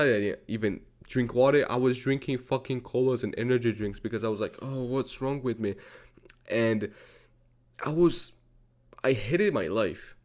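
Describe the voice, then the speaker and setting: A young man from Georgia, a native Georgian speaker, telling a personal story in an earnest, repetitive way with frequent swearing. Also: mid-range voice. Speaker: vlogger. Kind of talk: personal confessional monologue.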